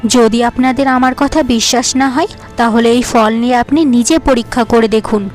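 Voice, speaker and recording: Cartoon casting: clever young niece, played by a woman, earnest and persuasive, high pitch, light music. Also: fairly even intonation, normal speed, a little mellow, lightly acted.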